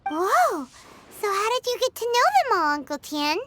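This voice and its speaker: A woman's voice: very high voice, very animated, moderate pace, pushed and bright, no room sound, exaggerated voice. A woman voicing a tiny, perky, childlike fairy companion in a video game, with a cutesy, exclamatory way of talking. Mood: curious, surprised. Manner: third-person self-reference, exclamatory, cutesy. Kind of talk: video game dialogue acting.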